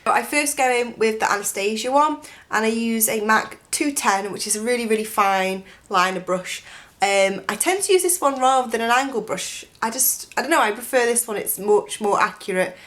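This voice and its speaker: A young woman with a croaky voice from a chest infection, chatting through a makeup tutorial in a gushing way, full of "like" and "um", close to the camera in a bedroom. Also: fairly high pitch, animated, moderate pace, a bit bright. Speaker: beauty vlogger. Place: bedroom with a dressing table, indoors.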